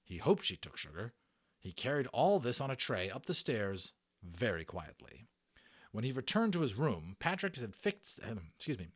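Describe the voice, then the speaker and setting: A man narrating a children's audiobook as an amateur voice actor, expressive and dramatic, in a storytelling way. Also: fairly low pitch.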